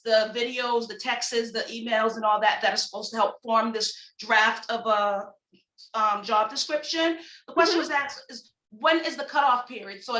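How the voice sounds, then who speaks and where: A woman's voice: a little high, pushed and bright, reverberant, poor audio. A woman on a committee asking direct questions and listing items one by one. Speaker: committee member. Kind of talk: meeting discussion.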